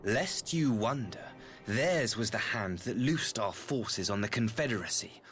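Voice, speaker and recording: An actor playing a courteous, calculating imperial ambassador in a video game, speaking with formal, eloquent, theatrical archaic diction. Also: male voice, on the low side, animated, a little slow, fairly bright tone. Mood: solemn, persuasive. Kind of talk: scripted video game voice acting. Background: background music.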